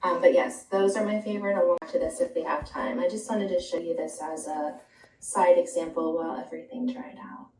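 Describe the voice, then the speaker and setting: Female art instructor, gentle and chatty, live-stream audio with room echo. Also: lowish voice, fairly even intonation, fairly quick, recorded from a distance, a little hiss or hum. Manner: soft-spoken, chatty, answering viewers while drawing. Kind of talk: live online art class.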